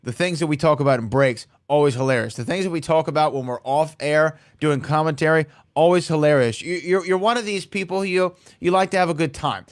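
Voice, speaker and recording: Higher-voiced American man, close dry sound. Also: brisk, few pauses, no echo. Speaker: podcast co-host. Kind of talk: casual conversational hosting.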